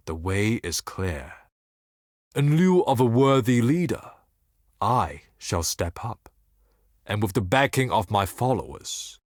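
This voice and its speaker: An actor playing a blind, scheming and tormented desert seer in a fantasy game, speaking in solemn, formal, theatrical monologue. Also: male voice, low voice, no echo, clean studio sound. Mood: cold, ambitious. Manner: solemn, formal, ominous, deliberate theatrical delivery.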